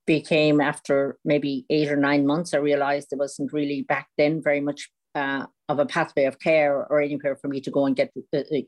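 Older Irish woman guest, very low, flat voice, halting speech with many fillers. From Ireland. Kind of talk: interview answer.

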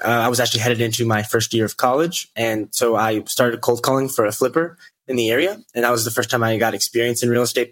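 Fast-talking young man, twenty. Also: medium pitch, a bit bright, dry recording. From United States. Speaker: podcast guest, real estate investor. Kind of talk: conversational storytelling.

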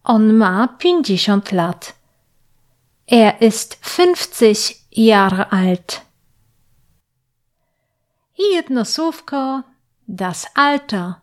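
A woman teaching German to learners, speaking clearly and patiently and pausing so the listener can repeat. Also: fairly high pitch. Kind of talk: slow, clear language lesson with repetition drills.

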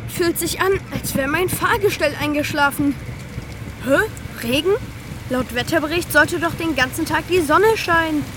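A cute little talking train for a children's audio play. The voice is extremely high, naive and exclamatory, with clear rain and engine noise behind it. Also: female voice, measured pace. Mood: puzzled. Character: cheerful, childlike little high-speed train, easily startled.

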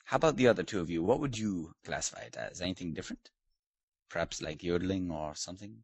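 A haughty British lord, as played by a man in a put-on accent, hosts a comedic podcast interview in a very deep voice that swoops up and down. He talks in a mock-posh, teasing way. The sound is dry and close. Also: lively intonation, fast talker, gravelly, breathy edge, no echo, exaggerated voice. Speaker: host.

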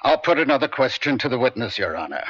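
A man acting a stern, pressing defense attorney in a radio courtroom drama, deliberate, pointed and domineering. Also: mid-range voice, sing-song, fairly bright tone, husky, no echo. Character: stern, pressing male defense attorney cross-examining a witness. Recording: dry, dull vintage radio broadcast.

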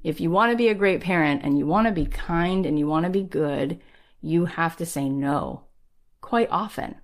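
Low-voiced woman giving an emphatic pep talk. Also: normal speed. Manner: emphatic, rallying, conversational. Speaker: podcast host and speaker. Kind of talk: motivational talk.

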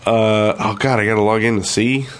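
A man's voice: fairly low pitch, steady tone, a little fast. A man chatting casually as a podcast co-host, informal and mildly griping in manner.

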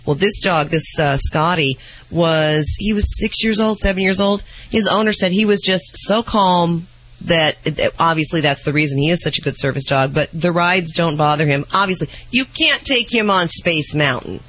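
A man's voice: fairly high pitch, moderate pace, a bit bright, no echo, low-quality recording. A man co-hosting a pet radio show, telling stories in casual banter while losing his voice. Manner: chatty storyteller, teasing. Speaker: radio co-host reading pet news. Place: radio studio.

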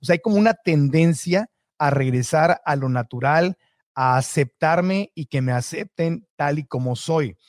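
A man hosting a podcast interview, asking quick chains of questions. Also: on the high side, no room sound, studio quality. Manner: asks rapid chains of questions. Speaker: podcast host. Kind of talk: interview conversation.

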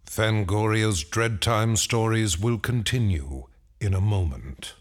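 A man's voice: fairly low pitch, slow. A man reading a scripted programme announcement as the show's announcer.

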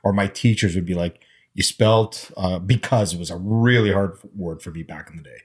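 Middle-aged man whose first languages are Armenian and Arabic, deep husky voice, relaxed reflective talk. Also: brisk. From immigrant, grew up speaking Armenian and Arabic. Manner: reflective, storytelling, frequent fillers. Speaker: panel guest. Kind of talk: casual conversation.

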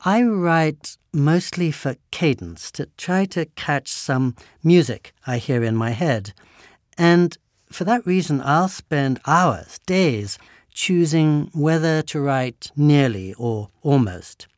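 A man in his sixties with an English accent, an author reading a prepared statement at a quick but measured cadence. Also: a little high, expressive, no room sound, studio quality. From England.

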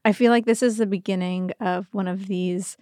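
A woman's voice: medium pitch, fairly even intonation, moderate pace, darkish tone, dry recording, clean studio sound. A woman co-hosting a casual chat, reacting and prompting in a teasing, playful way. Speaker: podcast co-host.

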